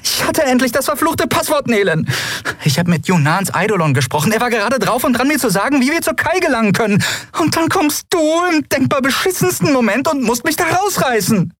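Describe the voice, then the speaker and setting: A man plays an excitable young woman captain for an audiobook. The voice is pitched well up and swings widely, with blunt, impatient, emphatic delivery and a slightly husky edge. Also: moderate pace, exaggerated voice. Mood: frantic, frustrated. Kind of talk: audiobook character voice.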